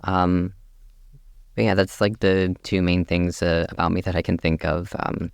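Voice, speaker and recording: A young man of eighteen, a radio guest, speaking hesitantly with frequent pauses and fillers. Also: sits low, level tone, creaky, dry recording, clean studio sound. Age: young adult. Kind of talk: casual radio interview.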